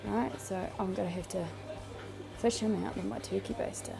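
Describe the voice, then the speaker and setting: A woman's voice: medium pitch, steady tone, normal speed, gravelly. A woman with a New Zealand accent, chatting casually as she films her home fish room, rambling with plenty of ums and restarts. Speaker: fishkeeping vlogger. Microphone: handheld camera.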